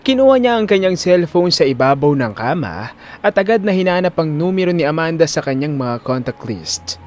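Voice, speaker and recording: A man's voice: fairly high pitch, big pitch swings, fairly quick, a little mellow. A man with a Filipino accent narrating a scripted audio drama in a dramatic storytelling style.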